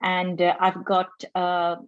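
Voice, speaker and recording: A woman's voice: lowish voice, completely flat delivery, slow, no room sound, phone-quality sound. A woman in her forties hosting an interview, enthusiastic and chatty with many fillers. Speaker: host. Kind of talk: interview host chat.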